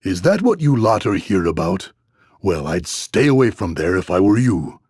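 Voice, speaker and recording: An actor playing a folksy, gossipy village innkeeper in a video game, husky and wary of strangers. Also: male voice, low-pitched, sing-song, normal speed, darkish tone, no room sound, studio quality, exaggerated voice. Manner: folksy, gossipy rustic phrasing. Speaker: innkeeper character.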